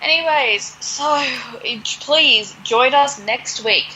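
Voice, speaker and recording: A woman's voice: high-pitched, slow, pushed and bright, lo-fi sound, slight background noise. A woman co-hosting a podcast in casual chat, with an informal, sardonic way of talking. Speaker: podcast co-host.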